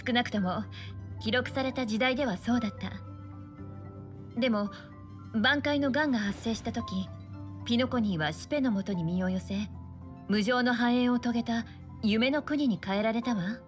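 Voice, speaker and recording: An elegant, mature navigator from a game, acted by a woman, with a fairly high voice. She sounds poised, confident and gently playful over faint music. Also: moderate pace, long pauses, lightly acted. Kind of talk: anime-style video game acting.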